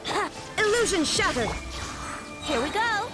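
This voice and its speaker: High-voiced plucky young game heroine. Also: female voice, sing-song, unhurried, over loud music, full character voice. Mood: battle-ready. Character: spirited young female adventurer, bold and plucky. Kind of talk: video game character voice acting.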